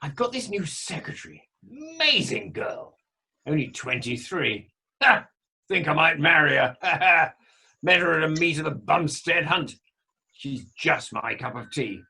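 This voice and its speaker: Casting: actor as self-satisfied flirtatious male drama director, bright forceful delivery, very lively pitch, radio comedy theatrics. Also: unhurried, creaky, husky, heavily acted. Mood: boastful. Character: boastful, flirtatious male amateur director and estate agent. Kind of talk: amateur radio play acting.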